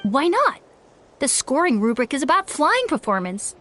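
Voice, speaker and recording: A feisty youngster with a very high voice. The delivery is animated and argumentative, as in a video game, with clear noise behind it. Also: female voice, moderate pace. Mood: defiant. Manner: pleading, indignant.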